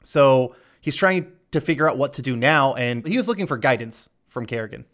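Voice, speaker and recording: A man's voice: mid-range voice, lively intonation, fairly quick, a bit bright. A man co-hosting a podcast in casual chat, rambling through a film's scenes with playful quoted lines, laughing and swearing now and then. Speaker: podcast co-host.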